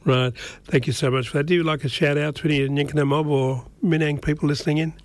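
A man hosting a community radio interview, easygoing and unhurried, with many fillers and restarts. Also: a little high, no echo. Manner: relaxed, warm, many fillers. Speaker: radio host.

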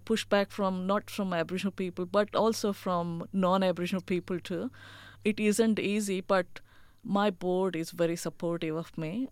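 An earnest woman from India talks with a noticeable Indian accent. Her voice is smooth and clear. It is recorded close and dry, and she often pauses on "you know". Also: lowish voice, no echo. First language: not English. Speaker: chief executive officer. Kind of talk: interview answers.

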